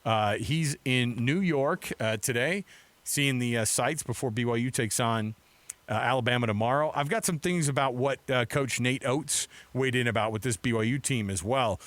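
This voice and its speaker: A man's voice: medium pitch, normal speed, fairly bright tone, no echo. A middle-aged American man hosting sports radio in a casual, chatty, rambling way from a studio mic. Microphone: close studio microphone.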